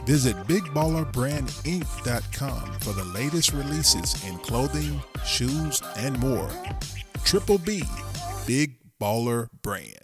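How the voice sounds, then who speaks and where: A man's voice: medium pitch, expressive, takes it slowly. A man reading a scripted sponsor ad as an announcer. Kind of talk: scripted ad read. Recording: loud music bed under voice. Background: loud music.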